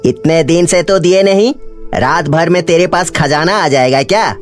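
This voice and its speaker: A man's voice: on the high side, moderate pace, faint music behind, lightly acted. A man playing an impatient, scornful moneylender making demands in a Hindi cartoon story. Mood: threatening. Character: impatient, threatening male village moneylender.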